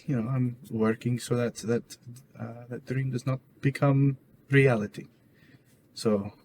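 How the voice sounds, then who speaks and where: A man talks casually as a player in a tabletop role-playing game. His delivery is halting and hesitant, in a mid-range voice. There is faint room noise around him. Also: medium pitch, a little mellow. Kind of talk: casual in-character roleplay and table talk. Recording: noisy room ambience.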